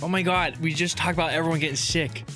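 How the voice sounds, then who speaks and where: A man's voice: a little high, steady tone, fast talker, music in the background. A man co-hosting a casual podcast, teasing his friend in a playful way and chatting loosely with plenty of fillers. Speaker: podcast co-host.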